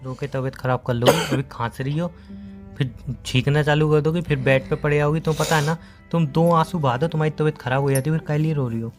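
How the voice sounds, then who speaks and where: A man's voice: a little high, steady tone, quick pace, faint music behind, close to an everyday voice. A young man acting a patient, teasing boyfriend in a phone-call skit, speaking in a caring, coaxing way. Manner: coaxing, playful, soothing. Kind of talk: acted romantic comedy phone-call skit in Hindi.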